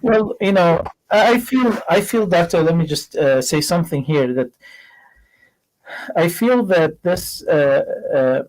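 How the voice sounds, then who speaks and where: Male interviewer, slightly high pitch, conversational, halting, rephrases mid-sentence. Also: a little mellow, poor audio. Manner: halting, rephrasing questions as he goes. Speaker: interviewer, host.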